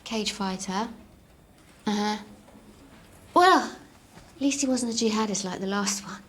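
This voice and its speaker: Flustered stammering mum. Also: female voice, mid-range voice, unhurried, fairly bright tone, husky. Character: flustered middle-aged mum juggling calls. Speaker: mother. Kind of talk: sitcom acting.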